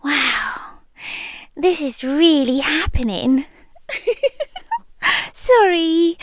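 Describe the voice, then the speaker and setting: A British woman playing a shy, obsessive yandere girlfriend in an intimate ASMR roleplay, soft, breathy and hesitant, often slipping into a whisper. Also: sits high, dry recording, exaggerated voice. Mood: nervous, smitten. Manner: slow, hesitant, confiding. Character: shy, obsessive young yandere girlfriend, sweetly intense and nervous.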